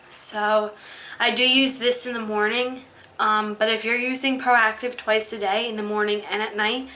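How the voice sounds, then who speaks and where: A young woman in a casual skincare tutorial, chatty with many fillers, her voice a little hoarse from being sick. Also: mid-range voice, pushed and bright. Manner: chatty, demonstrating while talking, many ums and likes. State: slightly hoarse, recovering from illness. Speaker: beauty vlogger.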